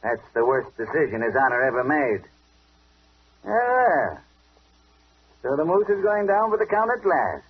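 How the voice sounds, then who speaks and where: A man's voice: a little high, big pitch swings, measured pace, pauses often, breathy edge, full character voice. An actor playing a brusque, commanding police inspector and father in 1940s radio drama style. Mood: satisfied. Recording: dull hissy old radio recording.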